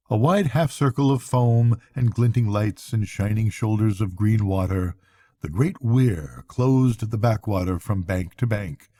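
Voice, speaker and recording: A man's voice: on the low side, warm tone, no room sound, clean studio sound. An older man narrating a classic storybook as bedtime reading.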